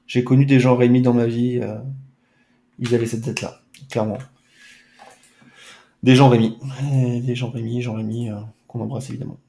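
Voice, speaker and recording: A man's voice: medium pitch, flat delivery, normal speed, gravelly, pauses often, mellow, roomy sound. A man streaming a retro football game, chatting casually with playful, mock-dramatic asides. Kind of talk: casual let's-play chat.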